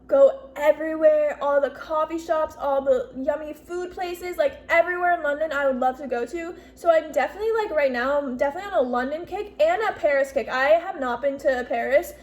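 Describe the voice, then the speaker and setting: A woman's voice: high voice, a little mellow. A woman in her twenties chatting casually in a bubbly, talkative way, peppering her speech with "like" and "literally". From US Northeast. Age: 20s. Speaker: YouTuber. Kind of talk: casual Q&A vlog.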